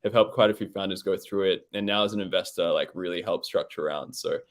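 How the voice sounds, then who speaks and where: Aussie guy hosting a live online chat, lowish voice, easygoing, says um a lot, on a video call. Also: quick pace. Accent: Australian. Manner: relaxed and upbeat, many "um"s. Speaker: host of an online founder session. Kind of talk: casual live-stream chat.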